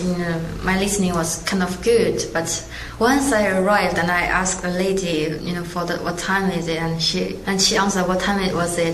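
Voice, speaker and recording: A woman's voice: mid-range voice, echoey room, slight background noise, low-quality recording. A woman who is a Chinese-native student chatting about her experience, using "you know" often. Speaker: student interviewee. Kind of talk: personal anecdote.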